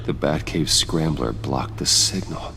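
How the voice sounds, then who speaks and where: A grave vigilante detective. He is measured, terse and controlled, as in a dramatic video game, with clear noise behind him. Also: a bass voice, bright, forceful tone, exaggerated voice. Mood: grave, analytical. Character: grave, determined adult male vigilante detective, low and controlled. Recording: clearly noisy game audio.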